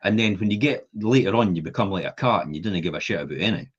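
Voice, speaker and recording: A man talks in a blunt, jokey way, swearing casually on a podcast. His voice is lowish and husky. The recording sounds dull and lo-fi. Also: lively intonation, racing. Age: 50s.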